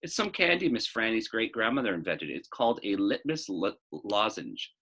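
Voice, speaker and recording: A man's voice: fairly low pitch, sing-song, normal speed, sharp tone, slightly rough, heavily acted. A man reading the part of an earnest, eager, stubborn young girl in a storybook, plain-spoken and insistent.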